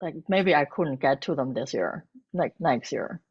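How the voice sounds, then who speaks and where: A woman whose first language is Chinese, a cookbook author talking about her work in a halting way with many restarts. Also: sits low, lively intonation, slightly rough, lo-fi sound. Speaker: cookbook author and food blogger. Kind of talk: bookstore author talk.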